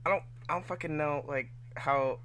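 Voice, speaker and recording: A man's voice: on the high side, moderate pace, over music. A man joking and chatting casually with friends during an amateur reading, swearing freely. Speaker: cast member in an amateur reading.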